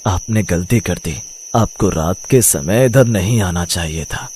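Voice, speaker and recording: A man voicing an old male roadside eatery keeper in a cartoon story, speaking gravely and cryptically. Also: sits low, a little slow, a little mellow, a little hiss or hum. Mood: grave warning. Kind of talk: cartoon moral story acting.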